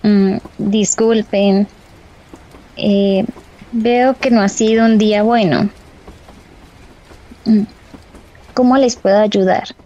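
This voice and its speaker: A woman's voice: medium pitch, steady tone, takes it slowly, long pauses, dark tone, highs cut off, close to an everyday voice. An actress playing a concerned, outspoken female bus passenger with a Colombian accent in a radio drama. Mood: hesitant, kind. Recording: background noise and ambience.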